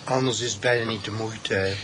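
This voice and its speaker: An actor playing a nosy, terse, matter-of-fact village petrol attendant in a radio drama. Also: male voice, lowish voice, fairly even intonation, fairly quick, a bit bright, close to an everyday voice. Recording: noisy outdoor vehicle ambience.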